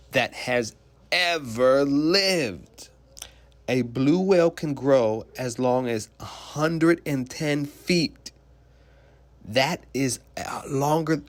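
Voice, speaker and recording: A man reading a children's picture book aloud like a teacher, explaining simply and putting questions to young listeners. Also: medium pitch.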